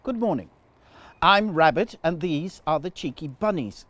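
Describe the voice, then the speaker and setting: A man's voice: fairly high pitch, lively intonation, a little slow, a bit bright, no echo. A man voicing a serious little bunny with an earnest, formal, precise way of talking, in a storybook. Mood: politely stern.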